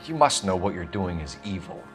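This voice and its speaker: A man's voice: low-pitched, sing-song, brisk, fairly bright tone, soft background music. An actor playing a righteous, proud detective and army officer in a video game, an American man who speaks in a stern, probing, precise way. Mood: accusing, stern. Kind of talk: dramatic video game acting.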